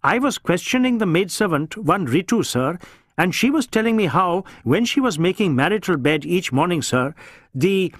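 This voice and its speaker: A man voicing a flustered, deferential Indian police inspector in an audiobook, halting and stammering, with an Indian accent. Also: high voice, animated, fairly bright tone, dry recording, clean studio sound, voice pushed up. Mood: anxious, earnest. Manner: halting, stammering, repeatedly saying sir. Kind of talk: audiobook character voice.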